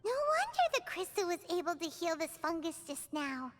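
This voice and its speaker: A woman voicing a gentle, curious young girl goddess in a game cutscene, speaking softly and earnestly. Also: extremely high pitch, big pitch swings, normal speed, loud music behind the voice. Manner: soft, earnest, reassuring.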